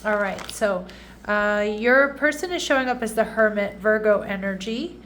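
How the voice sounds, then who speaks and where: Woman, tarot reader, slightly nasal, congested, chatty, restarts often, mid-pitched, faint background hum. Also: normal speed. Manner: chatty, warm asides, frequent restarts. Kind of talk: casual tarot reading.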